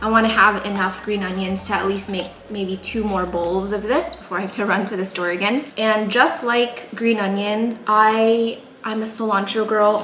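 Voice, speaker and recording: A woman's voice: medium pitch, a bit bright, a little hiss or hum. A young woman from California hosting a casual cooking tutorial, chatty and rambling with lots of fillers, recorded in a home kitchen. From San Jose, California. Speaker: cooking video host. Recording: echoey kitchen with cooking clatter. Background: pots, dishes and knife clicks.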